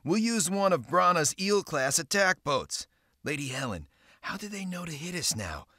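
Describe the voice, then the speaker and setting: A man's voice: fairly high pitch, big pitch swings, moderate pace, bright, forceful tone, no echo, clean studio sound, exaggerated voice. A man playing a hot-headed, sardonic commander and former pirate in a video game, with a gritty, terse delivery. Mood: indignant, urgent. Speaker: game protagonist and narrator. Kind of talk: dramatic game cutscene acting with brooding narration.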